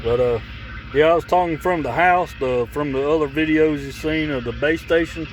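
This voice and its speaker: A man with a somewhat high-pitched voice chats casually through a hands-on gear demo. He rambles along in a folksy way. He is recorded on a camera microphone around a vehicle outdoors, with clear background noise. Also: normal speed. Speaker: vlogger demonstrating radio gear.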